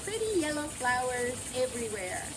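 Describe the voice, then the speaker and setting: A woman reading a children's storybook aloud in a gentle, theatrical, emphatic way, as a storyteller. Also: high-pitched, big pitch swings, normal speed, background noise. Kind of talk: expressive scripted storybook narration.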